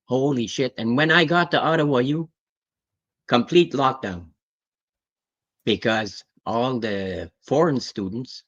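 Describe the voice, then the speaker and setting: A man's voice: medium pitch, slow pace, long pauses, fairly bright tone. A man, telling a story in rambling, casual chat with easy swearing. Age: 60s. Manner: rambling, swears casually, acts out quoted voices. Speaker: guest on comic livestream. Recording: muffled lo-fi call audio.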